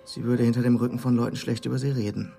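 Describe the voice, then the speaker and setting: A man's voice: mid-range voice, level tone, fast talker, creaky, mellow, soft background music, close to an everyday voice. A man acting in a radio drama as a casual friend passing on rumours in an offhand, conversational way. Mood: confiding.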